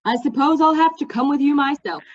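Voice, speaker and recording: A woman's voice: high-pitched, brisk, voice pushed up, lightly acted. A woman voicing an earnest, reluctant, easily hurt young king from a storybook. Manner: sincere, reluctant. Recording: slightly dull, lo-fi sound.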